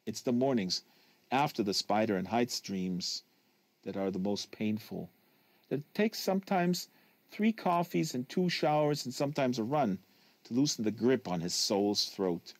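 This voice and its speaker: A man's voice: medium pitch, animated, measured pace, a little mellow, dry recording. Man reading literary fiction aloud, dry close sound. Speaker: reader of a literary novel.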